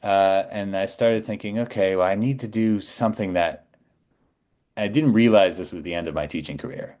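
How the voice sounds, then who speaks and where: A man's voice: on the low side, moderate pace, darkish tone. A middle-aged man telling stories in a casual, conversational way as a podcast guest.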